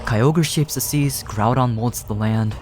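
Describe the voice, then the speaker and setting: A man voicing a lofty, coolly amused creator-god creature in a video game, with measured, pompous delivery. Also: medium pitch, a little mellow, close to an everyday voice. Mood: solemn, dismissive. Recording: game music behind the voice.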